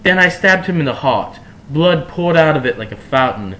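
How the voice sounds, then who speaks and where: A man's voice: a little high, animated, normal speed, pushed and bright, low-quality recording. A man reading fan fiction aloud in a mock-serious, theatrical and exaggerated way, with sardonic asides.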